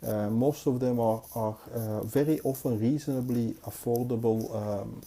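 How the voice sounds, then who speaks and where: Mellow, dark-voiced Belgian man reviewing. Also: medium pitch, fairly even intonation. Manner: hesitant, halting, unscripted delivery. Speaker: knife collector and reviewer. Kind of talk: casual hands-on review commentary. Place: indoors, at a table.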